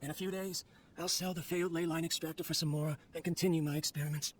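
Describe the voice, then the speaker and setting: A man's voice: on the high side, steady tone, fast, slight background noise, lightly acted. A man playing a weary, lonely researcher in a video game monologue, wistful and solemn in manner. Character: weary, lonely male researcher obsessed with his machine creation.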